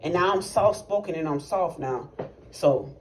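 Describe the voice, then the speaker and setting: A man's voice: a little high, moderate pace. Rambling young man telling a wild story. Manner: rambling, animated, profane, many fillers. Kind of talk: casual storytelling testimony. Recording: noisy recording with clicks.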